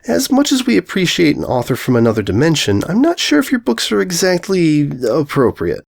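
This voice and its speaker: A man's voice: a little high, huge pitch swings, brisk, gravelly, pitched above the performer's own voice, full character voice. Guy doing a nerdy polite unicorn princess, kinda prim and flustered, cartoon fanfic reading. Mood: awkward, weary. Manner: hesitant, prim. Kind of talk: fan fiction story reading.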